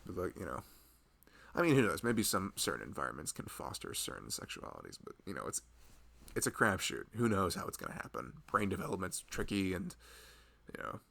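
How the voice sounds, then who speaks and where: A man's voice: deep voice, very animated, fairly quick, vocal fry, bright, forceful tone, heavily acted. A man playing a loving, easygoing husband and nervous expectant father in an intimate ASMR roleplay, rambling casually and hesitantly. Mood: accepting, casual. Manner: rambling, self-amused, hesitant, often chuckling.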